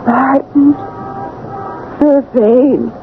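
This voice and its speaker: A woman playing an awestruck playwright, speaking in drawn-out wonder in a radio drama. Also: high voice. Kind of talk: 1970s radio drama acting. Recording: tinny, noisy vintage radio recording.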